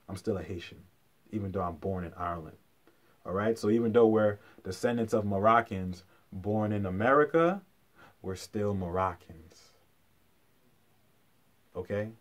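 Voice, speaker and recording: Emphatic man lecturing informally. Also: lowish voice. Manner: emphatic, repeats key phrases for effect; colloquial, punctuated with rhetorical questions.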